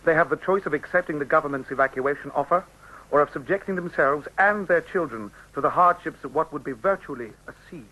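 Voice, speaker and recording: A man playing a brisk, formal radio news announcer with a British accent in an audio drama. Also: fairly high pitch, sharp tone, low-quality recording. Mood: urgent, formal. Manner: formal, clipped.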